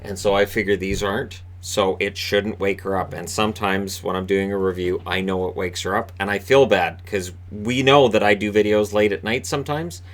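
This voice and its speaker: A man hosting a casual solo hockey recap from a room in his home, with a booming voice, talking in a rambling, chatty way with lots of fillers and restarts. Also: fairly even intonation, normal speed, hardly any pauses. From Canada. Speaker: hockey commentator and video host.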